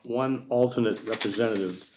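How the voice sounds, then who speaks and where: A man's voice: medium pitch, moderate pace, a little mellow, a little hiss or hum. A man chairing a town select board meeting, reading agenda items in a plain, procedural way.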